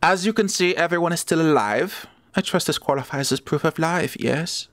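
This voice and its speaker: A man's voice: fairly high pitch, normal speed, fairly bright tone, slight background noise, natural voice. A man playing a menacing, impatient terrorist villain in a radio play, theatrical and imperious. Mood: smug.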